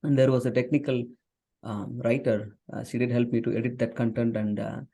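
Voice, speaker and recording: A man's voice: mid-range voice, level tone, brisk, gravelly, warm tone. A man in his forties from southern India whose first language is Tamil, speaking earnestly as an interview guest, with frequent fillers and restarts. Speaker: guest, software engineer and author. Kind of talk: conversational interview.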